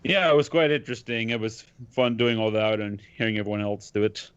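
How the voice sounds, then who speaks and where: A man's voice: lowish voice, fairly quick, smooth, no room sound, highs cut off. A man in his twenties chatting casually, polite and reserved as a newcomer. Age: 20s. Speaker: guest newcomer to a reading group. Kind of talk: casual chat.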